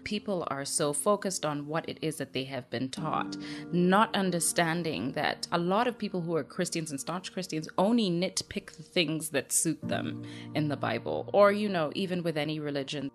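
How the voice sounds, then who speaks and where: Low-voiced woman from Kenya, earnest. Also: animated, moderate pace, music in the background. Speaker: podcast guest. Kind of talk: interview answers.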